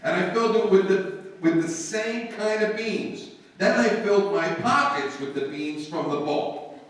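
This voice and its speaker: An actor playing a bumbling, distracted working-class family man in old-time radio comedy, with a slow, deliberate delivery. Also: sits high, a bit bright. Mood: preoccupied. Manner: slow, deliberate, droning counting. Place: live stage performance. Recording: roomy stage recording, distant, faint noise.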